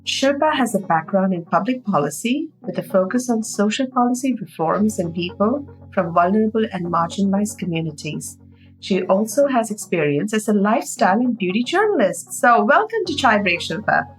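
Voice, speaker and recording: A woman's voice: mid-range voice, animated, normal speed, soft background music, rough recording. A woman hosting a podcast, chatty, asking long rambling questions. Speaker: podcast host. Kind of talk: casual interview chat.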